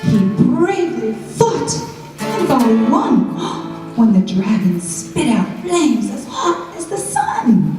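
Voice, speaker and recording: A woman telling children a rhyming fairytale in a dramatic, theatrical, expressive style. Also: on the high side, slow pace. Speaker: children's storyteller. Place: school show before children. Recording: echoey hall, distant mic, faint music.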